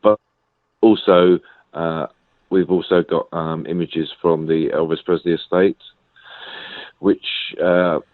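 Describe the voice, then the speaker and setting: A man's voice: low-pitched, steady tone, takes it slowly, long pauses, no echo. A man being interviewed over the phone as an author and researcher, talking hesitantly with many fillers and repeated words. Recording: tinny phone line.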